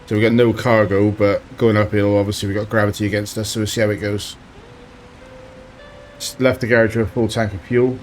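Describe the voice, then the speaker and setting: Low, flat-voiced man narrating gameplay. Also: a little fast, soft background music. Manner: relaxed, thinking aloud while driving. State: slight sore throat. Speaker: let's play host. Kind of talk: casual gameplay commentary.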